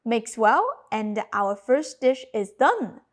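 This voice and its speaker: A woman in her thirties whose first language is Cantonese, narrating a cooking lesson with clear step-by-step instructions. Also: a little high, very animated, slow pace. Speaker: cooking channel host. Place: home kitchen.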